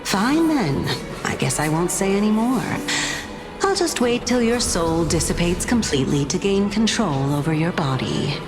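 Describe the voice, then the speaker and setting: A woman's voice: lowish voice, animated, moderate pace, lightly acted. A woman playing a proud, teasing Titan spirit in a video game, with a playful and haughty manner. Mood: wry. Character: proud, teasing female Titan spirit sharing a man's body. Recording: background music.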